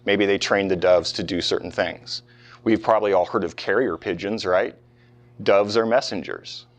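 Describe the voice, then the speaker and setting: A man's voice: deep voice, normal speed. Man giving an informal history lecture. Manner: conversational, rhetorical questions, casual asides. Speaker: professor lecturing. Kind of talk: informal classroom history lecture with questions to the students. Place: live talk in front of a small audience.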